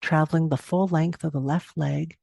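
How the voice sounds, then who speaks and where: A woman guiding a meditation as a teacher, giving gentle, unhurried instructions with long pauses between phrases. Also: low-pitched, fairly even intonation, creaky, breathy edge, no room sound. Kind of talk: guided body scan meditation narration.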